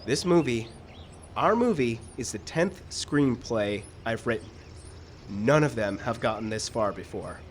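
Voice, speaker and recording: An actor playing a rueful, hesitant struggling screenwriter in a live radio drama, speaking earnestly. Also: male voice, mid-range voice, lively intonation, unhurried, close to an everyday voice. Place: live radio show in an outdoor park. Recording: live outdoor stage recording, background noise.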